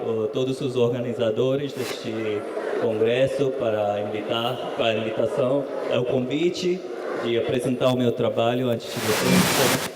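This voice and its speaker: An Indian man presents on a conference stage, working through his words with frequent restarts and hesitations. His voice sits at a middling pitch and is picked up close by a microphone, sounding dry. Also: a little mellow, no room sound. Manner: halting non-native speech, hesitant with frequent restarts. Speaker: panel speaker, economist. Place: conference hall.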